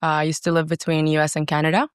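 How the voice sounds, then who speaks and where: A woman's voice: sits low, level tone, racing, clean tone, dry recording, clean studio sound. A woman from Saudi Arabia talking casually and self-assuredly as a guest in an interview, with emphatic delivery. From raised in Saudi Arabia. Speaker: podcast guest, entrepreneur.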